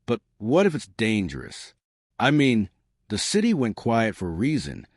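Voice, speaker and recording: A man voicing a cautious, sharp-minded teenage girl in a horror storybook reading, speaking with worry and hesitation. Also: fairly low pitch, wildly swooping pitch, normal speed, no room sound, studio quality. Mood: worried.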